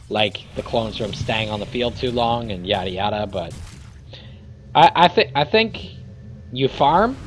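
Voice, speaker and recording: A man doing casual play-by-play commentary on a video game match, excitable and rambling, swearing now and then, his voice a little raspy. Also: medium pitch, slight background noise. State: voice getting raspy. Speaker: game caster. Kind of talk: amateur esports play-by-play commentary.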